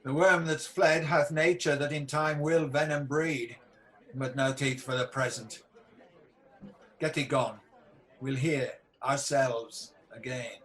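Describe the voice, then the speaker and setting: A man's voice: mid-range voice, fairly even intonation, slow, a bit bright. An amateur actor playing a guilt-haunted tyrant king with a hospitable surface in a Shakespearean radio play. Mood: anxious, brooding.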